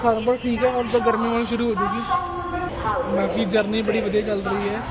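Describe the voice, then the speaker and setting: A man's voice: high-pitched, fairly quick. A man chatting easily through a train journey vlog, recorded on a handheld phone. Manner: chatty, easygoing running commentary. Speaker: train travel vlogger. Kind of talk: casual travel vlog narration. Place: on a train and station platforms. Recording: crowded station noise. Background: busy station crowd and trains.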